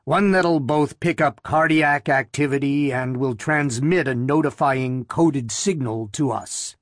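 A man voicing a big, thoughtful, assured male boss with a deliberate manner in an audiobook story. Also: medium pitch, no room sound, studio quality, close to an everyday voice. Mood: decisive.

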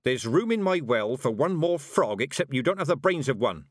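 Curt commanding old witch, man voicing her; lively pitch, dry. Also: measured pace, no echo, heavily acted. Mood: stern. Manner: curt, commanding, snaps orders. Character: stern sharp-tongued old woman witch.

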